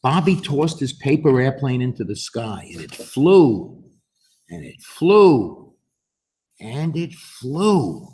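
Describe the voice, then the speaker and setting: A man reading a storybook aloud to children in an animated way, putting questions to his listeners in a gently instructive manner. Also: mid-range voice, slow. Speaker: storybook reader and host.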